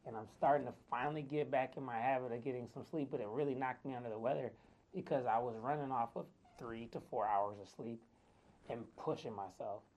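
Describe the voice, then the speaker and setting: Raspy-voiced man chatting casually. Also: mid-range voice, fairly quick, a little mellow, low-quality recording. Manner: chatty, loose, lots of fillers. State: tired, short on sleep. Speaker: wellness vlogger. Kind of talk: casual talk to camera.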